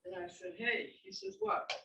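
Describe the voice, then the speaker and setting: A woman's voice: fairly high pitch, very animated, moderate pace, vocal fry, background noise. A woman playwright chatting casually to a gathering, telling anecdotes in a rambling way. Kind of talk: casual Q&A chat after a reading. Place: library event room. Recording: echoey library room, distant muffled mic.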